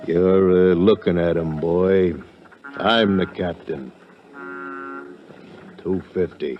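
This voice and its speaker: A muffled vintage radio recording presents a gruff old-salt sea captain. His voice is very deep and he drawls out his words slowly. He sounds crafty and grasping in his salty sailor talk. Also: fairly even intonation, a little hiss or hum. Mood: sly bargaining. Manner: slow salty sailor talk, hard bargaining. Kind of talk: 1950s radio drama acting.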